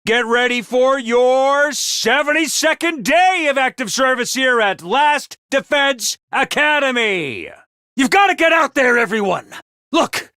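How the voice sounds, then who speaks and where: A man voicing an excitable, theatrical mascot commander of a military school in a video game, speaking emphatically. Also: extremely high pitch, dry recording, clean studio sound, heavily acted. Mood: bombastic, rousing, then desperate pleading. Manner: theatrical, emphatic, swinging from grave to bombastic. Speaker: commander addressing students. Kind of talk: animated video game voice acting.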